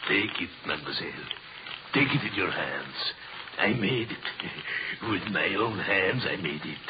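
A mad old Frenchman in a vintage radio horror play. He coaxes slowly and proudly with ghoulish delight, his voice swooping from hushed muttering up to shrill cries, on a muffled, noisy old recording. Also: lively intonation. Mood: proud, dreamy. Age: older. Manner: coaxing, repetitive, proudly muttering. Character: crazed old hermit craftsman, gleefully macabre, coaxing.

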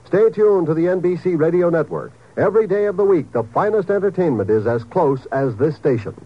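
An old radio recording with a dull sound. A male announcer, slightly high and husky, pitches programs in a polished, very lively 1950s style. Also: brisk. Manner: polished salesmanlike delivery. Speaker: network announcer.

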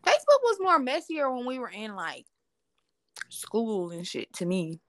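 A woman's voice: a little high, huge pitch swings, measured pace, dry recording, studio quality. A woman co-hosting a podcast in a casual chat, playful, laughing often and using slang. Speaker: podcast co-host.

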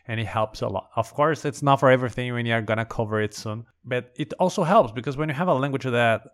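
Man with a Brazilian Portuguese accent. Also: medium pitch. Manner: conversational, thinking aloud. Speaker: podcast host. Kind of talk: casual language-learning podcast monologue.

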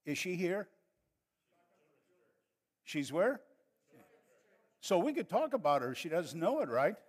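An older man preaching with a northern Wisconsin accent, his voice sitting a little high for a man. He talks to the congregation in a folksy, chatty way and builds to fervent preaching. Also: very animated, takes it slowly, husky. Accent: Upper Midwest (northern Wisconsin). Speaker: pastor. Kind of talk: sermon.

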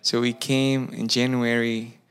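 A man's voice: mid-range voice, level tone, unhurried, fairly bright tone, clean tone. A man from Costa Rica with a Spanish accent, a campus pastor telling his story in a casual interview, who speaks earnestly and restarts phrases as he searches for English words. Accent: Costa Rican Spanish.

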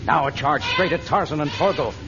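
A man's voice: on the high side, fairly quick, noisy background. A man giving scripted dramatic narration in the manner of an old radio adventure serial. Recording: dull vintage radio transcription.

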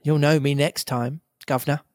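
A man's voice: a little high, steady tone, normal speed, a little mellow, no echo, clean studio sound, natural voice. A man voicing a down-and-out male tramp who talks in a beggar's whine. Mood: cheeky. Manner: wheedling, then blustering.

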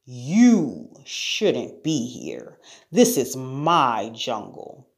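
A woman acts out a bossy, ill-tempered lion who rules the jungle in a children's storybook. He snaps and jeers at a very slow, deliberate pace, with big swings in pitch. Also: sing-song, heavily acted. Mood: indignant. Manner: roaring, snapping, jeering.

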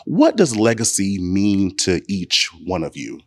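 A man hosting a talk show in a playful, animated way, often addressing his guests as y'all. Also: low voice, a little slow.

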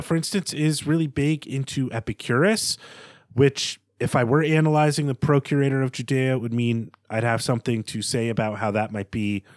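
A man co-hosting a literary podcast, talking through analysis in a measured, scholarly way with halting phrasing. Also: medium pitch, no echo, clean studio sound. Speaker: podcast co-host.

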